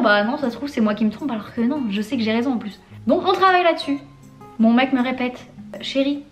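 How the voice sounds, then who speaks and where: A young woman from France talking candidly in a casual vlog, using slang and laughing often. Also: a little high. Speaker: vlogger.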